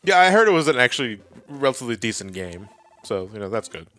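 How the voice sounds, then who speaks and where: A man's voice: medium pitch, very animated, quick pace, bright, forceful tone, soft background music. A man talking casually in a group game commentary.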